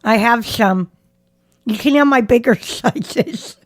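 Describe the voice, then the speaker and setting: A woman's voice: fairly high pitch, takes it slowly, no echo, clean studio sound. A woman co-hosting a podcast, dropping teasing, crude quips in casual group chat. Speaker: podcast co-host.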